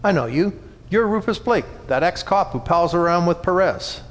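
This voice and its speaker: A man's voice: high voice, animated, moderate pace. A man playing a touchy, menacing club-owning gangster with sneering mock politeness, in a 1940s radio detective parody. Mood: threatening. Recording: echoing reverberant hall, off mic.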